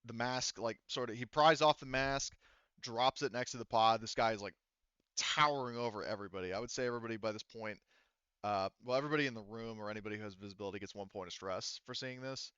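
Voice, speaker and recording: A man's voice: medium pitch, quick pace, sharp tone, clean tone, no echo, lo-fi sound. A man running a tabletop game as game master, improvising narration and hesitantly searching for his words.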